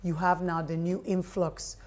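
A middle-aged woman giving measured, reflective, conversational answers as an interview guest. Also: lowish voice, little pitch movement, a little mellow. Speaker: guest, playwright and director. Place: open-plan office studio.

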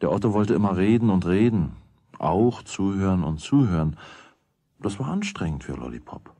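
Wry storyteller, really low husky voice, kids radio play, chatty and musing. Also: male voice, big pitch swings, moderate pace, mellow, no echo, exaggerated voice. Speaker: narrator. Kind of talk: German children's radio play narration.

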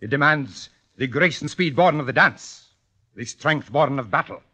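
An actor playing a proud, courtly old fencing maestro with grandiose, formal phrasing in a radio drama. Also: male voice, a little high, moderate pace, pushed and bright. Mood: grand, instructive. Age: older. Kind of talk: 1950s radio drama acting. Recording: dull, lo-fi 1950s radio recording.

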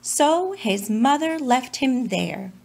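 Female storybook reader: highish voice, unhurried pace, children's read-aloud narration. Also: lively intonation, creaky, a little mellow, a little hiss or hum.

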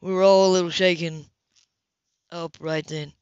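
A woman's voice: lowish voice, steady tone, normal speed, a little mellow, clear, resonant tone, no echo, highs cut off, voice pushed down, natural voice. A woman voicing a blunt, impatient, hot-headed male racer in an audiobook romance. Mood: shaken, pleading. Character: hot-headed male racer, gruff, defensive, earnest lover.

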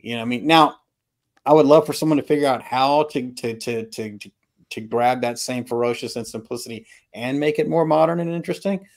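A middle-aged man talks casually about music over a video call from a room at home. His delivery is halting and opinionated, with frequent throat clearing, at an ordinary male pitch. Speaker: album review panelist. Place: home room with records, indoors.

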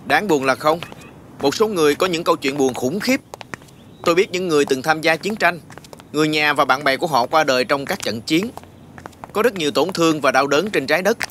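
A man playing a kind, patient human guide in dubbed fantasy film dialogue, explaining things gently. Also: fairly high pitch, a little fast, slight background noise, lightly acted. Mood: reflective.

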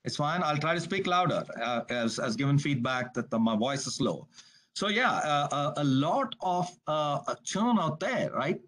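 Brief: man, webinar presenter on a video call, brisk and casual, voice sits a bit high. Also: lively intonation, fairly bright tone. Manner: brisk, conversational, frequent "you gotta". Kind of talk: business webinar talk.

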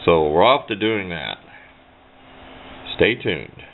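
Tinny, low-voiced man rambling casually. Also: very animated, takes it slowly. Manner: folksy, rambling asides. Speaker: hobbyist radio video maker. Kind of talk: casual hands-on demonstration commentary. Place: indoor workbench. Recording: tinny, band-limited camera mic with noise.

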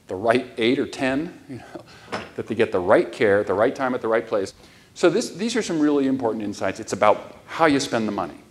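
A middle-aged man lectures from a large conference stage, through a lapel mic. His voice sits in an ordinary male range. He explains steadily and earnestly, stressing the points that matter. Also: medium pitch. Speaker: keynote speaker.

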